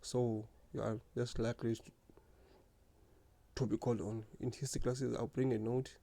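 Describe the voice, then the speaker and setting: Guy who stutters, gravelly low voice, kinda flat, thinking back on school. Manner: stutters, with repeated words and restarts; slow, earnest, reflective. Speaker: speaker sharing lived experience. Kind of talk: personal reflective monologue.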